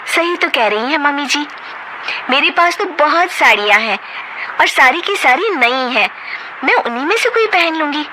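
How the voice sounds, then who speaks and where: A woman's voice: sits high, expressive, normal speed, noisy background, pitched above the performer's own voice. A woman voicing an outspoken young wife who talks back sharply and defiantly. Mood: soothing.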